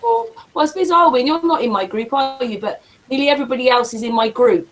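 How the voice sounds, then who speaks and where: A woman's voice: high voice, expressive, fast talker, slight background noise, low-quality recording. Chatty woman hosting a voice chat. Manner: welcoming, introduces guests, chatty. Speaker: group organizer and host. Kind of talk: casual group voice chat.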